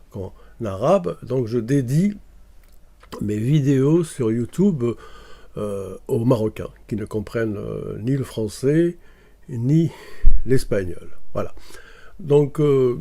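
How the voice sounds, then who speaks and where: A man's voice: mid-range voice, dark tone. A man from Morocco, talking casually to camera and hesitating often with fillers and restarts. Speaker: video commentator.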